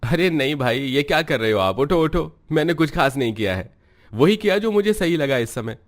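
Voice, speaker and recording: An actor voicing a firm, kind-hearted army major in a cartoon story, speaking with calm authority. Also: male voice, a little high, moderate pace, close to an everyday voice. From Punjab. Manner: firm, warm-hearted, commanding. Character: kind, upright army major, middle-aged man. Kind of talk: cartoon voice acting.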